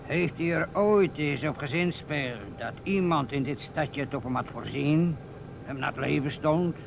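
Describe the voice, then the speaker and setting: A man's voice: a little high, unhurried, creaky, lo-fi sound. A man acting a persistent, businesslike police inspector in a Dutch radio play, asking probing questions. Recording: old radio recording, faint noise.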